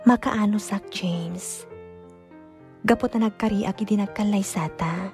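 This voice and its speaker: A woman's voice: medium pitch, unhurried, darkish tone, faint music behind, natural voice. A woman playing a meek, loving young wife in a radio drama, hesitant and devoted, with an Ilocano accent. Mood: tender, devoted.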